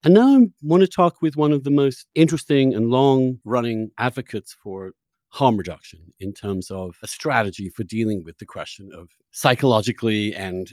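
A man's voice: mid-range voice, a little mellow, no echo. A man hosting a podcast, talking in an effusive, conversational interviewing style. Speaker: podcast host.

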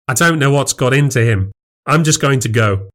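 A man's voice: medium pitch, lively intonation, moderate pace, a bit bright, voice pushed up, close to an everyday voice. A man voicing an awkward, flustered male friend in audiobook dialogue, hesitant and affronted.

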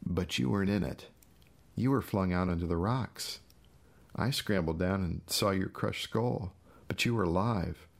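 Man voicing a low-voiced, sadistic, taunting brother. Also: unhurried, gravelly, heavily acted. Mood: gloating, raging. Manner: mocking, menacing, sing-song rhetorical questions.

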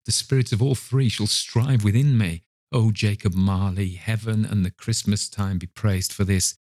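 A man's voice: on the low side, steady tone, moderate pace, darkish tone, no echo, close to an everyday voice. An old miser from a storybook, acted out by a man, repentant and wildly fervent. He exclaims breathlessly and theatrically, in a very dry recording with no room sound. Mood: fervent gratitude. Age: older. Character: excitable old miser, repentant, giddy with joy.

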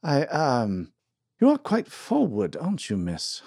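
A man voicing a flustered, polite young gentleman who stammers awkwardly. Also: medium pitch, wildly swooping pitch, unhurried, creaky, breathy edge, no room sound, clean studio sound, pitched above the performer's own voice, exaggerated voice.

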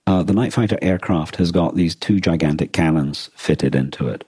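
A man co-hosting a podcast, explaining things in a casual, halting discussion as he thinks aloud. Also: deep voice, darkish tone, husky, dry recording, clean studio sound. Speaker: podcast co-host. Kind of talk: casual discussion of a radio drama.

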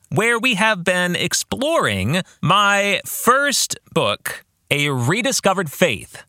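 A man in his forties hosting a podcast in a scripted monologue, with a deliberate, emphatic presenter's delivery. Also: fairly high pitch, pushed and bright, dry recording, clean studio sound. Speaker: podcast host and author.

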